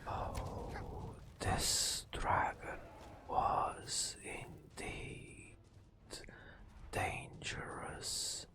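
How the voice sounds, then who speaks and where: Very slow whispering man. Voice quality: breathy whisper. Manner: slow, hushed, dramatic storytelling with long pauses. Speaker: ASMR gaming storyteller. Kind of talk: soft ASMR narration of a fantasy game.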